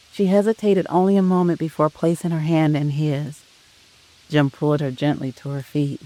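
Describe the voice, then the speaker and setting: A woman narrating a scripted audio drama as a storyteller, in a soft and measured manner. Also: sits low, rough recording.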